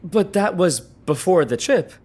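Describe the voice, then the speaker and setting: A man's voice: high voice, expressive, measured pace, a little mellow, husky, exaggerated voice. A man playing a distressed, indignant lover who feels trapped, incredulous and accusing, in an audio drama. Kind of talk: naturalistic audio drama acting.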